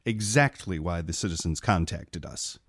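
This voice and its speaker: An actor playing a dutiful, deferential government agent in an audio drama, reporting in a formal, businesslike way. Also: male voice, low voice, lively intonation, normal speed. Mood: dutiful, concerned.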